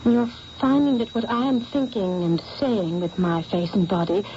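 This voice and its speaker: A woman's voice: mid-range voice, moderate pace, dark tone, natural voice. A sincere, curious young model speaks, played by a woman in a mid-range voice. It is carried on a dull old radio broadcast with faint hiss. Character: Yvonne, young female artist's model. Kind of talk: 1970s radio drama acting.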